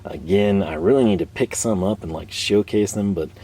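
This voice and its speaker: A man hosting a tool video in his workshop, chatting casually and rambling with fillers, his voice a bit hoarse from a cold. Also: lowish voice, moderate pace, dark tone. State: slightly hoarse, losing his voice. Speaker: tool channel host. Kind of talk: casual show-and-tell talk. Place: home workshop.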